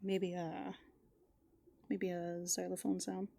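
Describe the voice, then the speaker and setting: A woman doing improvised ASMR roleplay, softly and playfully musing aloud, slipping into breathy whispers close to the microphone. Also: lowish voice, level tone, slow, heavy background noise. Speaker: ASMR performer in a raccoon avatar persona.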